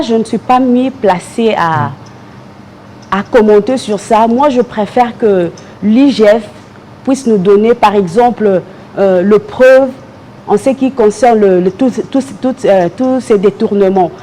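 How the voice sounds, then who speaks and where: Mellow Congolese woman in a political interview. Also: mid-range voice, unhurried, slight background noise, poor audio. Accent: Congolese French. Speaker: political party member, guest.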